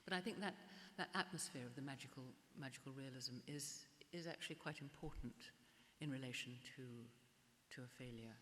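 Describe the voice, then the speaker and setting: A woman's voice: a bass voice, a little fast, fairly bright tone. Older lady talking art to an audience, keeps restarting her sentences, echoey hall w/ light hiss. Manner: hesitant, restarts and repeats words. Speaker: art historian. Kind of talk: public gallery conversation. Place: gallery event space before an audience.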